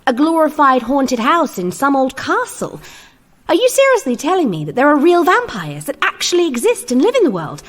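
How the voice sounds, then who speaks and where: A woman playing an earnest, incredulous new scheduling worker in a comedic audio drama, questioning emphatically. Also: high-pitched. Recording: long reverberant hall sound.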